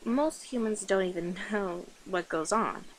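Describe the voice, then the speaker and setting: A woman playing a flirty, affectionate, slightly nervous vampire in an intimate ASMR roleplay, speaking softly, coyly and hesitantly to the listener. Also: mid-range voice, a little slow, a little hiss or hum, lightly acted, poor audio. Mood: explaining softly. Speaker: voice actor in an audio roleplay.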